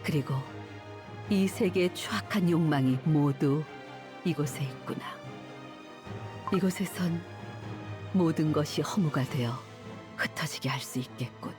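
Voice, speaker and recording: A woman's voice: low voice, measured pace, long pauses, music in the background. A woman voicing a detached, nihilistic villainess in a game cutscene, with cold, solemn, condescending pronouncements. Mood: cold contempt. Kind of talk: scripted video game cutscene acting.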